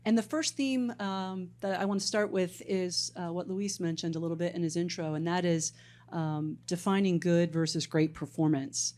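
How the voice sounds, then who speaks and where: A woman with a somewhat low voice moderates a conference panel. She sounds brisk and organized. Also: fairly even intonation, a little mellow. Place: conference stage.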